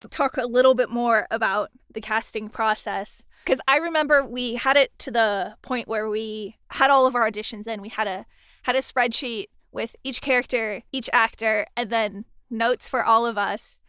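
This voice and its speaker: A woman interviewing a colleague in a casual, expressive and gushing chat. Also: fairly high pitch, normal speed, clear, resonant tone, no echo, studio quality. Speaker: interviewer and audio drama colleague.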